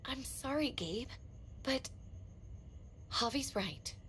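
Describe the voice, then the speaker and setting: A woman's voice: sits high, expressive, slow pace, a bit bright, a little hiss or hum, full character voice, rough recording. An actress playing a weary, frightened woman survivor in a video game drama, blunt and emotionally raw. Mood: gentle, firm. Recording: music behind the voice.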